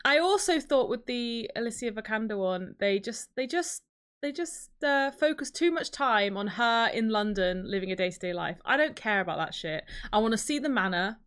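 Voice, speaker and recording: A woman's voice: fairly high pitch, expressive, normal speed, fairly bright tone, smooth. Enthusiastic woman chatting casually about screen entertainment. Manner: enthusiastic, opinionated. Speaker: podcast co-host. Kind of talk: casual film and gaming chat.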